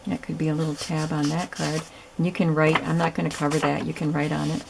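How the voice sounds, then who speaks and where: Low raspy-voiced woman crafting. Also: fast talker, background noise. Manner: relaxed, chatty, thinking aloud while working. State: hoarse and raspy from little sleep. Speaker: craft video host. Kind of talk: casual crafting narration. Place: home craft room.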